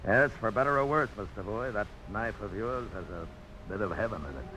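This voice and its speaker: Proud frontier blacksmith, low forceful voice, folksy and dramatic, visionary, old radio drama, faint noise. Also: male voice, lively intonation, fairly quick. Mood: solemn. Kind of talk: 1950s radio drama acting. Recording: noisy old radio broadcast.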